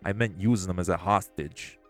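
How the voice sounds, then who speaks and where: A man's voice: low-pitched, a little fast, soft background music, close to an everyday voice. A man playing sneering profane gangsters. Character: hostile male crime bosses, magic gangsters. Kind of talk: audio roleplay drama.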